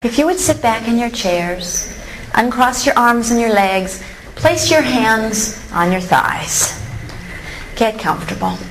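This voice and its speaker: Female meditation guide, very slow pace, calm and gentle, long pauses, roomy live recording. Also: a little high, slight background noise. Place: room with a seated live audience.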